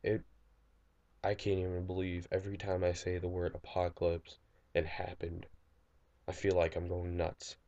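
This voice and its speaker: Man acting a low-voiced, halting young apocalypse survivor. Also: completely flat delivery, dark tone, no echo, dull audio. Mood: shaken, rattled. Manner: halting, distraught, stammering and repeating himself. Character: traumatized young man recording an apocalypse survivor's audio log. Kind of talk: amateur audio drama monologue, diary-like.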